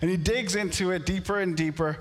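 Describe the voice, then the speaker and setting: A pastor whose first language is French, preaching a sermon in a storytelling way that builds to animated outbursts. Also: male voice, high-pitched, a little fast, in a large hall. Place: church sanctuary.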